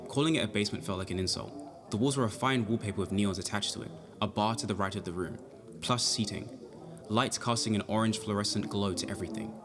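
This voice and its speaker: A man's voice: on the low side, fast, crowd noise, lightly acted. A man acting as a nervous, polite young amateur detective who narrates his case in an audio drama. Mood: measured, wry. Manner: hesitant, flustered in dialogue, formal when narrating. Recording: music behind the voice.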